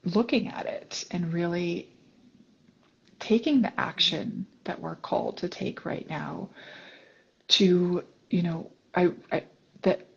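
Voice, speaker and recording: A woman hosts a heartfelt conversation, her voice somewhat low and husky. She speaks earnestly, leaning into each point. The audio is dull and lo-fi, like a remote call. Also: takes it slowly. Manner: earnest, affirming, many soft agreements. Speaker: podcast host. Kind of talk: reflective conversation.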